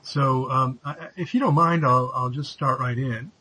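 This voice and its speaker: A man hosting an online interview, opening formally and asking his guest measured questions. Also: mid-range voice, bright, forceful tone, low-quality recording. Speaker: interview host.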